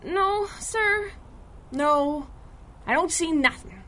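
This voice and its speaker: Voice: very high-pitched, lively intonation, slow pace, heavily acted. An actor playing an eager, naive young boy agent, earnest and flustered, in a pulp radio drama. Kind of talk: 1930s pulp radio acting.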